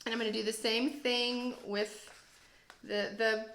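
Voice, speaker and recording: A woman's voice: on the high side, level tone, measured pace, a bit bright, reverberant, slight background noise. A woman who teaches second grade, reading and explaining things to children in an encouraging, self-deprecating way. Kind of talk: read-aloud storytelling and casual explaining for children.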